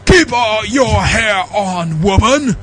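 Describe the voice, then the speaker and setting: A man's voice: sits high, animated, takes it slowly, over loud music, voice pushed up. A man voicing a scornful alien warrior prince and father, haughty and barking, in an amateur comic reading. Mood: irritated. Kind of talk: amateur comic dramatic reading of fan fiction.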